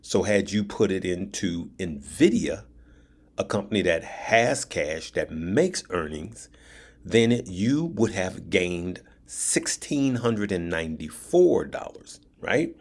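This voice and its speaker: A man with a deep voice hosting a stock trading channel, giving casual advice and driving his points home by repeating them and checking in with "right?". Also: animated, slow, no echo. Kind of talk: casual finance advice to viewers.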